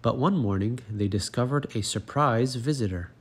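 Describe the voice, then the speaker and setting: A man narrating a children's picture book as a read-aloud, in an expressive storytelling manner. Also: mid-range voice, moderate pace, breathy edge.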